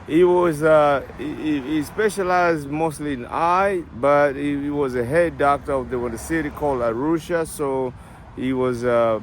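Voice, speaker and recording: A middle-aged man with a Tanzanian accent, his voice sitting somewhat high for a man. He talks in a halting way, restarting and filling pauses as he answers questions. He is recorded outdoors with clear street and traffic noise behind him. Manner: halting, with many restarts and fillers. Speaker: interviewee. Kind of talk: street interview.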